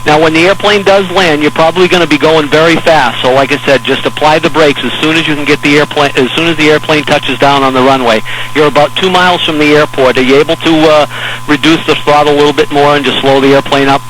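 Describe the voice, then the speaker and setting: An American man working as an air traffic controller, giving measured, hesitant, reassuring step-by-step instructions over aviation radio. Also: fairly high pitch, fairly even intonation, fairly bright tone, poor audio. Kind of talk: instructional radio talk to a pilot in an emergency.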